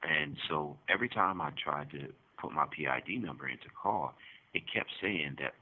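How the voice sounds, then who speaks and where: A man calling in from prison over a muffled phone line, chatting casually and haltingly, repeating phrases. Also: extremely low pitch, lively intonation, breathy edge. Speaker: prison inmate calling in as guest.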